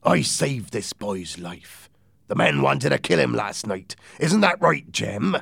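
A man's voice: mid-range voice, big pitch swings, slow pace, gravelly, fairly bright tone, slightly rough, dry recording, studio quality, pitched above the performer's own voice, heavily acted. A man voicing a wily, commanding pirate leader in a storybook reading. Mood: earnest.

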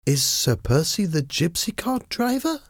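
A man's voice: a little high, big pitch swings, unhurried, dry recording, studio quality, pitched above the performer's own voice, heavily acted. A man voicing an anxious, emotional noblewoman in an audiobook, earnest in the way the words are delivered. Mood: perplexed. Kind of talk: acted audiobook dialogue.

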